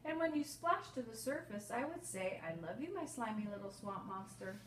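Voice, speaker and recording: A woman's voice: fairly high pitch, expressive, normal speed, natural voice. A woman voicing a loving, patient storybook mother with a tender, singsong, reassuring manner. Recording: roomy, distant mic, faint noise.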